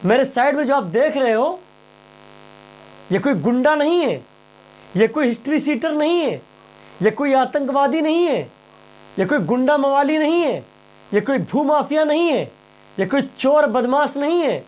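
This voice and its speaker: A man's voice: extremely high pitch, expressive, normal speed, pauses often, darkish tone. A man presenting impassioned news commentary straight to viewers, emphatic and rhetorical, repeating phrases and questions.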